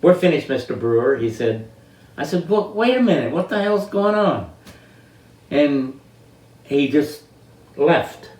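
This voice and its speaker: An elderly man in his eighties from the United States telling personal stories in an unscripted, halting, deliberate way, with long pauses and drawn-out words. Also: on the high side, very animated, darkish tone. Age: 80s. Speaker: interviewee telling life stories. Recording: roomy, distant microphone.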